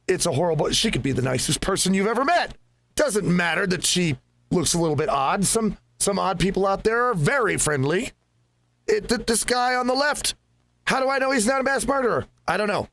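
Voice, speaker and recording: Higher-voiced man giving casual game commentary. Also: a bit bright. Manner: conversational, musing, rambling. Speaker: host of a gaming channel.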